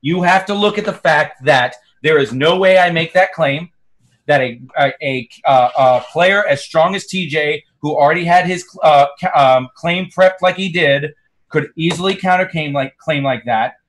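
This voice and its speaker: A man's voice: fairly high pitch, normal speed, a bit bright. A man explaining his reasoning as a player in a social deduction game, hesitant and searching for words. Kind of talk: game discussion.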